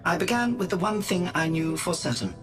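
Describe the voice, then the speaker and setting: An actor in a radio drama playing a self-assured robot prophet, coolly logical and devout, speaking in a formal, measured, preaching way. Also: male voice, high-pitched, over music, lightly acted.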